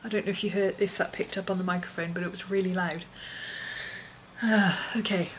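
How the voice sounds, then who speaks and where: Woman vlogger, hoarse and husky with a lowish pitch, rambling casual chat, faint hiss behind her. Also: steady tone, normal speed, poor audio. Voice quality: husky, often whispery. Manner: rambling, lots of ums, small laughs. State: hoarse, voice partly lost from infection. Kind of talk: casual chatty vlog.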